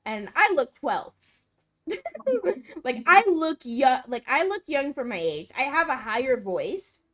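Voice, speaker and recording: A woman's voice: sits high, sing-song, measured pace, fairly bright tone. A young woman on a livestream, chatting casually and rambling with many fillers. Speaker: streamer. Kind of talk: casual chat.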